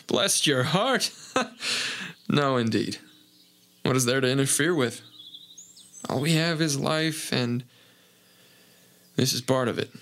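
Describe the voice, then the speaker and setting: An actor playing a calm, wise older countryman who speaks gently and chuckles softly. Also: medium pitch, slow, long pauses. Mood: gentle. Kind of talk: radio play acting.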